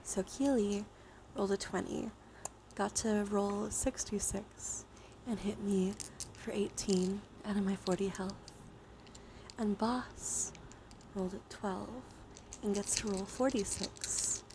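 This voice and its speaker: Woman, casual streamer, slow delivery, soft-spoken, breathy phrase endings, noticeable noise behind the voice. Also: mid-range voice, steady tone. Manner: casually sweary. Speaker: live streamer hosting a chat dice game. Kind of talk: casual live stream chat, ASMR.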